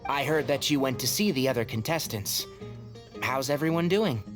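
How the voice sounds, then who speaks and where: A man acts a calm, observant young companion in an anime game. His mid-pitched voice moves expressively yet sounds thoughtful, over plucked guitar music. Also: normal speed, lightly acted. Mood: concerned. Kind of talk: anime video game voice acting.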